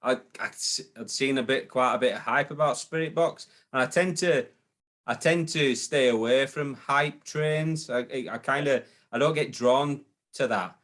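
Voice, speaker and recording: A man speaking as a guest in a relaxed chat. He hesitates often, stacking ums and restarting phrases, at a middling pitch. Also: normal speed. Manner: hesitant, lots of ums and repeated starts. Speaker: guest, booking agent. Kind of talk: casual interview chat.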